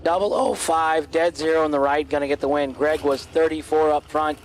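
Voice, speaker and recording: A man announcing drag races at an outdoor track in clipped, terse calls, his voice going hoarse. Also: fairly high pitch, fairly even intonation, moderate pace, slight background noise. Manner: clipped, terse calls of lanes, dial-ins and reaction times. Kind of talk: race commentary.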